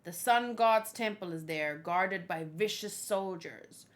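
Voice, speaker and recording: A woman reading the part of a hurried castle servant who gives travellers matter-of-fact, ominous warnings in a manga read-along. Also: lowish voice, voice pushed down. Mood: grave warning.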